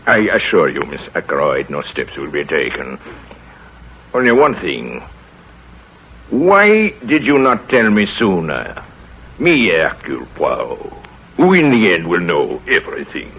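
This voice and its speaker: An actor playing a proud, aged male detective, slow and grand, in a 1930s radio play. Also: sits low, wildly swooping pitch, a bit bright, slightly rough, heavily acted. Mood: gentle. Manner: pompous, measured pauses. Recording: lo-fi old radio broadcast.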